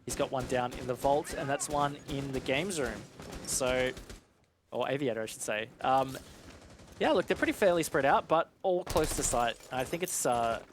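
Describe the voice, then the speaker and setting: A man giving conversational live esports analysis in a measured, explanatory and informal way. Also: mid-range voice, a little hiss or hum.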